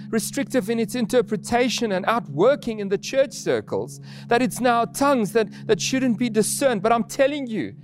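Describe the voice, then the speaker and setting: Afrikaans-speaking guy preaching, pretty high pitched, earnest, some faint music underneath. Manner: earnest, with restarts and soft laughs. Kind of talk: sermon.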